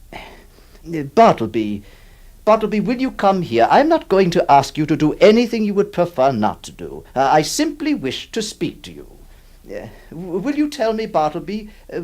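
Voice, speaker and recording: An actor playing a middle-aged lawyer who narrates, stammering and theatrical, swinging between mock cheer and pleading. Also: male voice, a little high, a little mellow, exaggerated voice. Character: middle-aged male lawyer, flustered, exasperated, kindly. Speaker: lawyer employing a scrivener, narrator. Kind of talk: 1960s radio drama acting.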